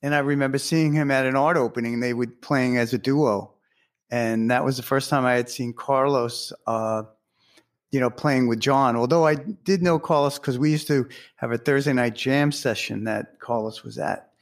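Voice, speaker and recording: Man, band member, reflective, fillers, mid pitch. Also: moderate pace. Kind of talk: casual interview talk.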